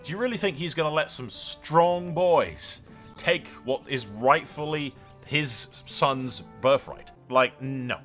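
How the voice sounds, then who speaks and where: Sweary man commentating a game, bright voice. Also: mid-range voice, slow, faint music behind. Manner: sweary, emphatic, rambling, given to theatrical declarations. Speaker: gaming content creator and host. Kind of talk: excited gameplay commentary with in-character roleplay.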